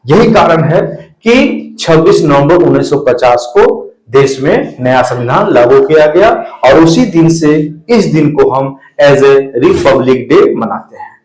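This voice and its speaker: A man speaks in an echoey room. He presents an explainer straight to the viewer. His delivery is emphatic and instructive, like a presenter making each point clear. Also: a little high, expressive, unhurried, hardly any pauses, a little mellow. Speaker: video presenter.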